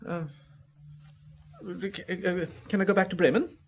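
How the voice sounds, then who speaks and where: Flustered high-voiced nervous businessman. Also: steady tone, unhurried, slight background noise. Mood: nervous, hesitant. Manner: stammering, agitated. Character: flustered, nervous businessman under police suspicion. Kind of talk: radio drama acting.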